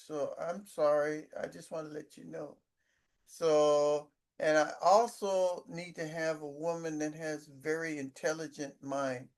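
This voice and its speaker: Older guy in his 60s bragging, stumbly and repetitive, kinda high voice. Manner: boastful, repeats himself, stumbles on words. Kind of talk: casual chat.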